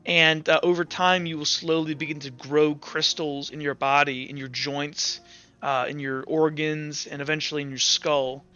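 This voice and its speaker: A man narrating a tabletop game as game master, improvising with halting, backtracking explanations. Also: a little high, flat delivery, pushed and bright, smooth. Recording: slightly dull audio with music.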